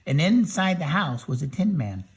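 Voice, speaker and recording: A man reading a children's story aloud, in an expressive way with chatty asides. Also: a little high, moderate pace, slight background noise. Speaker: storybook reader.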